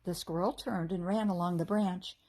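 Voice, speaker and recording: A woman reading a storybook aloud as a teacher would to children, with expressive delivery and character voices. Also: fairly low pitch, moderate pace.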